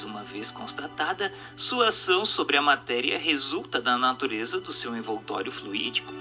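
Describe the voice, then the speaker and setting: A man with a Brazilian accent reads a scripted doctrinal text as a narrator, in a formal, declamatory and rhetorical manner. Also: medium pitch. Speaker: narrator of a spiritist book reading. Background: music.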